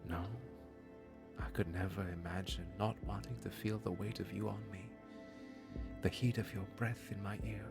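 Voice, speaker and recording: A man playing a charming, guarded musician and lover in an intimate audio drama, soft-spoken and flirtatious. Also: fairly low pitch, fairly even intonation, moderate pace, vocal fry, over loud music. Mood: tender, charmed.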